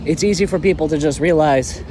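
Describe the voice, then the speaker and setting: A man's voice: fairly high pitch, brisk, background noise, lightly acted. A man playing a brash, stubborn, kind-hearted hero in an audio drama, talking in emphatic, rambling slang. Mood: defiant.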